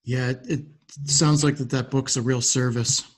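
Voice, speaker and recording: A man's voice: medium pitch, steady tone, moderate pace. A man hosting a conversational interview podcast in a supportive, affirming manner. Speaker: podcast host.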